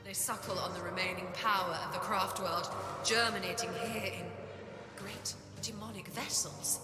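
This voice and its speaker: A woman's voice: mid-range voice, steady tone, normal speed, close to an everyday voice. A woman playing an imperious, driven inquisitor in a video game cutscene, with a haughty, commanding, intense delivery. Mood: awed, intent. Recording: roomy echo under loud music.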